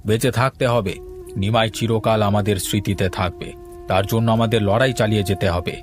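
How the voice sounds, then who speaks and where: A man's voice: on the low side, steady tone, fairly quick, natural voice. A man playing a sympathetic village neighbour in a cartoon, consoling in an earnest, reassuring way. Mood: solemn, consoling. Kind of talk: cartoon story voice acting. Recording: music behind the voice.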